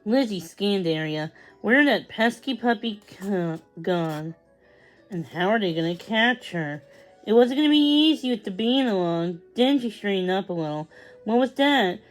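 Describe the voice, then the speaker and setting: A woman's voice: mid-range voice, lively intonation, normal speed, soft background music, rough recording. A woman narrating a children's story as a read-aloud. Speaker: storybook reader.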